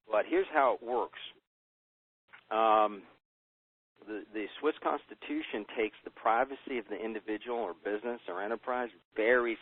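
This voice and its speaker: American man, low husky voice, emphatic sales pitch, rhetorical questions, phone-call audio. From United States. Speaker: business presenter on a conference call. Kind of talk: persuasive pitch on a conference call. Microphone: telephone. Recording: tinny phone line.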